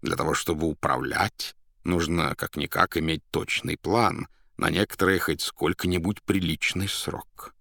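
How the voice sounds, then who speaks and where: A man voicing a mysterious foreign gentleman, polite and slyly menacing, who draws out and savours his words. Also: deep voice, fairly even intonation, a little slow, gravelly, dry recording, studio quality, full character voice. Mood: probing.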